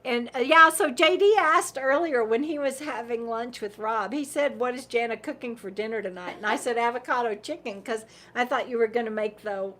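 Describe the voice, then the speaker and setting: A woman's voice: a little high, moderate pace, few pauses, bright, forceful tone. A woman in her fifties hosting a casual livestream, reading viewer comments, rambling and laughing often, losing her voice. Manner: rambling, chatty, laughs often, repeats words. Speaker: livestream host.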